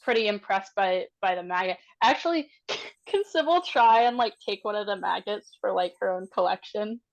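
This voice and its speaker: A woman playing a tabletop game, improvising casually and thinking aloud in a halting way. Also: medium pitch, low-quality recording.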